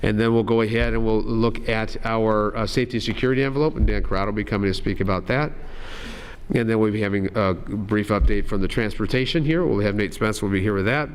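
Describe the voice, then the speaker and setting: A man with a fairly low voice leads a school board meeting. He speaks in a friendly, halting way, thanking people and introducing each speaker, with frequent "uh"s and restarts. He sounds like a meeting room. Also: smooth. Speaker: school district administrator leading the meeting. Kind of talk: running a public board meeting.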